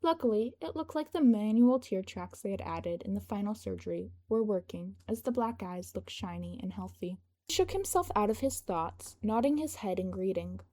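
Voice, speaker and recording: Mellow-voiced woman reading a story. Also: mid-range voice, animated, normal speed. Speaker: fan fiction narrator. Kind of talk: scripted narration of a story.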